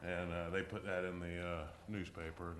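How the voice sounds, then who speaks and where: A man with a deep voice chairing a local committee meeting, speaking in a plain, folksy way. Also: flat delivery, moderate pace, fairly bright tone. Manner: plain-spoken, folksy, reminiscing. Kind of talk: public meeting, casual chat.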